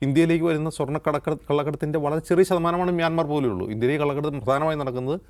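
A man's voice: a little high, steady tone, rapid-fire, darkish tone. A man with a Kerala accent speaking as a news panelist, explaining current affairs in detail. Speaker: news anchor and panelist on a news discussion show.

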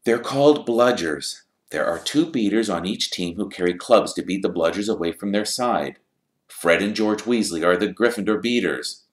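A man voicing a tired, reluctant teenage boy who answers flatly and explains things with resigned patience. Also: low-pitched, normal speed, voice pushed up, natural voice. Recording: roomy echo.

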